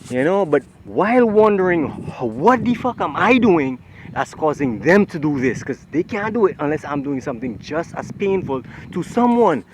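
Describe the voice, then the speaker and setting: A man's voice: a little high, sing-song, normal speed, poor audio. A man rambling in an agitated, profane monologue while walking outdoors on a city street. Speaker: vlogger. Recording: street traffic noise behind.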